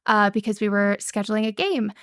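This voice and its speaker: A woman's voice: medium pitch, animated, a little fast, clean tone, no room sound, studio quality. A young woman in casual podcast chat, rambling and enthusiastic with many fillers and restarts. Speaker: podcast guest, actual-play show organizer.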